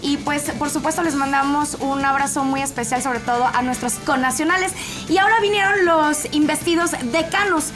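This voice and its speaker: High-voiced Mexican woman hosting sports chat. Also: moderate pace. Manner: chatty, teasing, reads out a list with asides. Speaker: host. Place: TV studio set with audience. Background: music.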